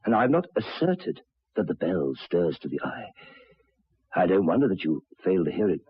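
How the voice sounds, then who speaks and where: A troubled railway signalman in a radio play. The voice sits low and is heavily husky, with grave, deliberate, halting phrasing. The recording is dull and lo-fi, like an old broadcast. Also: very animated, heavily acted. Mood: earnest, insistent. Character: haunted, troubled railway signalman telling of ghosts. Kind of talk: old radio drama acting.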